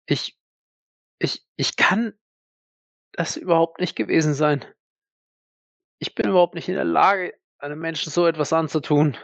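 A man's voice: on the high side, creaky, no echo, dull audio. A man playing a proud, defiant accused war veteran in an audio drama, indignant and emphatic. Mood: defensive, tense. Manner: indignant, emphatic, halting when pressed. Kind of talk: dramatic audio play acting.

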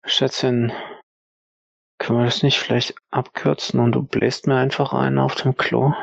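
A man's voice: medium pitch, level tone, normal speed, vocal fry, husky, dry recording, lo-fi sound. A man playing a stoned, weary young sniper in an audio drama, sluggish, mumbling and detached. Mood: dismissive.

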